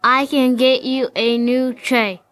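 A kind, principled schoolgirl played by a female performer in an amateur radio play. Her voice is high and she reads her lines very slowly and deliberately. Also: level tone, fairly bright tone. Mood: concerned. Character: kind schoolgirl who stands up to bullies.

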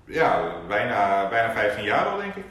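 A man's voice: on the low side, sing-song, moderate pace, a bit bright. An actor playing an easygoing, long-serving male newsroom colleague in naturalistic audio drama dialogue. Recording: very echoey room, faint noise.

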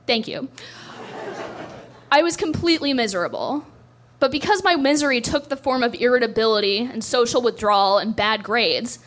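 A woman's voice: on the high side, a little hiss or hum. A young woman doing a stand-up set, telling a story in a dry, self-deprecating way on a club stage. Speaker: stand-up comedian.

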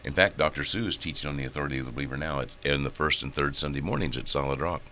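An older male pastor with a very low, bright, forceful voice, talking in a loose, joking way with plenty of restarts. A faint ambient hum sits behind him. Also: very fast. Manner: relaxed, joking, rambling with restarts. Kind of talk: casual podcast chat.

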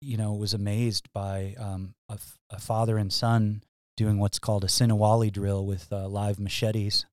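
Man, guest scholar, from Wisconsin, low voice, relaxed, anecdotal, fillers, dry mic. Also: moderate pace, hardly any pauses, no room sound. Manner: relaxed storytelling with fillers and chuckles. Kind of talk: casual academic interview.

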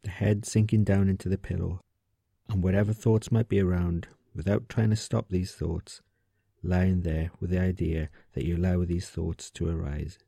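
A man guiding a sleep meditation in a soft, gentle, soothing voice, giving calm instructions slowly and leaving pauses. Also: low voice, vocal fry, husky, no room sound. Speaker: meditation guide.

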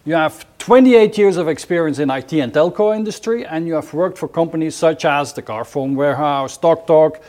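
A man with a slightly high-pitched voice hosts a formal interview. He delivers prepared introductions and questions in a measured, deliberate way. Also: a little mellow. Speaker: interviewer, host.

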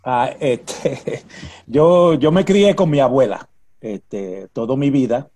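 Dark-voiced older Puerto Rican man. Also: medium pitch. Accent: Puerto Rican Spanish. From Puerto Rico. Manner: halting, repeats words, many fillers. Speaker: interview guest, retired police officer. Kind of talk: casual interview chat.

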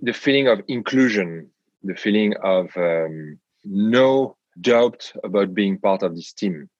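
A man with a French accent, a guest on an interview podcast, speaking in a measured way with frequent pauses and hesitations. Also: lowish voice, lively intonation, a little mellow, dry recording, highs cut off. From France. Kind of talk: reflective interview talk.

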